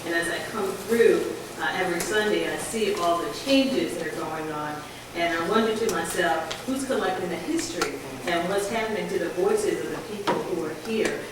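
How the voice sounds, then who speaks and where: A woman researcher giving a conversational public lecture, with a voice she calls booming. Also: normal speed. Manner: conversational, faith-infused, says she has a booming voice. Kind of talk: lecture with slides. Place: large echoey community room. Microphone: handheld or stand mic, moving off it at times. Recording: distant, echoey, hissy room recording.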